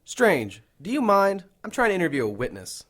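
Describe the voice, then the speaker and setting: A man's voice: sits high, huge pitch swings, moderate pace, a bit bright, exaggerated voice. A man playing an irritable, formal police detective in an audio drama, sounding put-upon. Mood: annoyed. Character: official, irritable male police detective questioning a witness.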